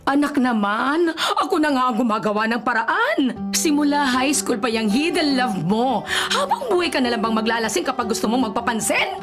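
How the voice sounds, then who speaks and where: A woman's voice: sits high, big pitch swings, normal speed, few pauses, music in the background. A woman playing a doting, meddling middle-aged mother in a Filipino radio drama, teasing and pushy, with a Filipino accent. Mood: teasing, insistent.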